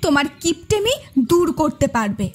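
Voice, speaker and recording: A woman's voice: sits high, big pitch swings, moderate pace, soft background music. A woman playing a nagging, aggrieved village wife in a fairy-tale cartoon, with a scolding, melodramatic delivery.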